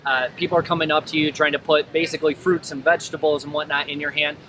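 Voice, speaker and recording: An American man with a slightly raised voice talks bluntly and preachily, poking fun at himself as he drives home a business lesson. He sits well back from the mic, and outdoor noise with passing vehicles comes through clearly behind him. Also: on the high side, level tone, fast talker, recorded from a distance. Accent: General American. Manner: blunt, self-mocking, preachy. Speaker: entrepreneur vlogger. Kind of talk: casual motivational monologue.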